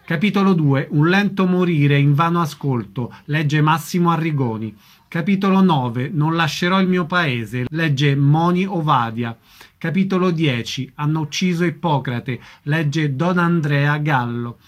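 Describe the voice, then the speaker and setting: A man's voice: fairly high pitch, measured pace. A man presenting and interviewing on radio in a scripted announcing style. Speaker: radio host.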